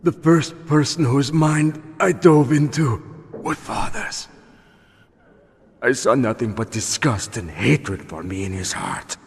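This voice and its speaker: An actor playing a bitter, sinister dying psychic villain in a video game, with a breathy rasp and theatrical, halting delivery. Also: male voice, medium pitch, expressive. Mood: haunted, sinister. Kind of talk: dramatic video game acting. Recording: noisy, heavily reverberant game audio.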